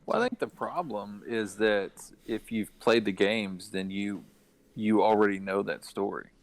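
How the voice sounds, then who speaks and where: A man's voice: fairly low pitch, a little slow. A man in a casual podcast discussion about video games, talking in an explanatory manner. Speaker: podcast panelist.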